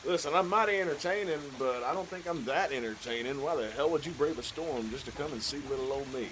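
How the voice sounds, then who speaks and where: A man hosting and narrating a horror story, folksy and unhurried, who talks straight to the listener. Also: medium pitch, background noise.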